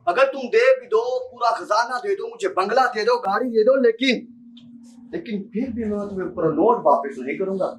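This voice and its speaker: A man's voice: high-pitched, moderate pace, close to an everyday voice. A young man student acting a bitter, insistent blackmailer who threatens, in an amateur stage drama. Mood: threatening, insistent. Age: young adult. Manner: insistent, threatening, repeats himself. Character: menacing, bitter blackmailer demanding his job back. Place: university seminar hall stage. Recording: distant microphone on stage.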